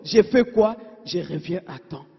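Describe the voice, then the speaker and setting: A woman preacher delivering a sermon, asking her congregation rhetorical questions and swinging between emphatic and hushed delivery. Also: on the low side, lively intonation, measured pace, vocal fry, husky. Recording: dull sound in a reverberant church hall.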